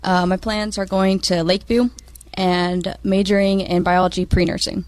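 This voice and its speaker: A woman's voice: mid-range voice, flat delivery, moderate pace, a little mellow. A nineteen-year-old woman, a graduating student guest, answering casually.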